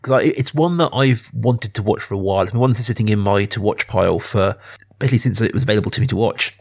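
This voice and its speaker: Male podcast host, mid pitch, conversational film talk, searches for words and rephrases. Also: fast, creaky.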